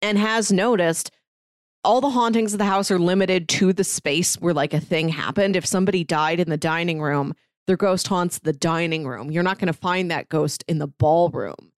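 Female podcast co-host, a little low in pitch, conversational reviewing style, very dry recording. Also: normal speed, no echo. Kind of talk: casual podcast review discussion.

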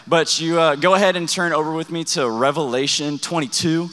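Hyped young pastor in a reverberant hall. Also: male voice, on the high side, fairly even intonation, a little fast, pushed and bright. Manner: hyped, calls for crowd responses. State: losing his voice. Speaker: youth camp pastor. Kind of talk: energetic youth sermon.

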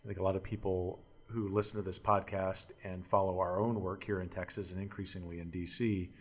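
A man hosting a podcast interview, asking questions in a measured, deliberate way. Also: sits low, level tone. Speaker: podcast host.

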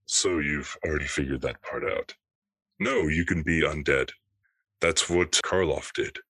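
A man voicing a hooded, faceless reaper who referees the afterlife with calm authority, in measured, formal pronouncements. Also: rumbling low, no room sound, full character voice. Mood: calm, explanatory.

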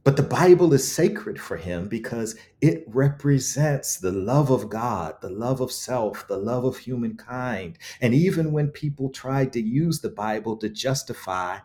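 A man's voice: mid-range voice, animated, unhurried, hardly any pauses, darkish tone. A man speaking as an interview guest, reflective and eloquent, with emphatic, preacherly storytelling and rhetorical repetition. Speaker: guest author.

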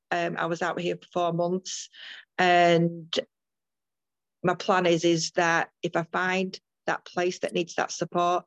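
An older woman from the UK speaks in a low, fairly flat voice, with frequent ums and restarts as she talks through her midwifery work. The sound is dry and a little dull, like a call recording. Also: no room sound. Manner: earnest, many restarts and ums. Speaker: guest midwife. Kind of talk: interview over a call.

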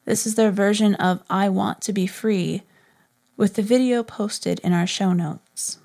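A woman's voice: mid-range voice, fairly even intonation, unhurried, vocal fry, darkish tone. A woman narrating a scripted podcast segment as its host.

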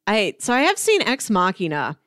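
Female co-host for a film podcast, chatty and expressive, animated intonation, mid-range voice, dry studio-like sound. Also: medium pitch, moderate pace, clear, resonant tone, dry recording. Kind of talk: casual film discussion.

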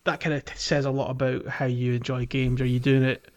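A man co-hosting a casual gaming podcast, talking in a thoughtful, halting way on a slightly dull, lo-fi recording. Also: medium pitch, little pitch movement, darkish tone. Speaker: podcast co-host.

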